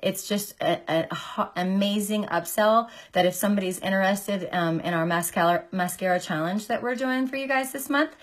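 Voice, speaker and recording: A woman in her forties, a makeup artist, chatting through a casual makeup tutorial on a phone camera, her voice deeper as she recovers from illness. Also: normal speed, hardly any pauses. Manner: chatty, filler-heavy, greets viewers by name. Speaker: makeup artist and beauty seller. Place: small indoor room. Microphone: phone camera at arm's length.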